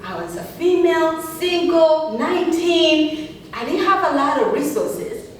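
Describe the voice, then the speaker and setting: A woman's voice: high voice, animated, unhurried. A young woman from Uganda, telling her personal story as a speaker with earnest, emphatic delivery. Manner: earnest, emphatic, rising passionately on key words. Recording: echoey hall from far off.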